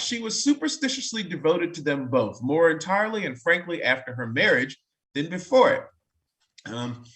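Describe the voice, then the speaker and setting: Male narrator for a staged reading: slightly high voice, very animated intonation, expressive storytelling broken by dry conversational asides. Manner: expressive, wry, breaking off to comment. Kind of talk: dramatic reading aloud with casual asides.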